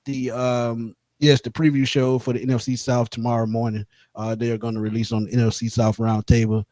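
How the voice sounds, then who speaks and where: A young man co-hosting a sports podcast, joking loosely and giving shout-outs. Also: medium pitch, flat delivery, fairly quick, no echo. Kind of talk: casual sports chat.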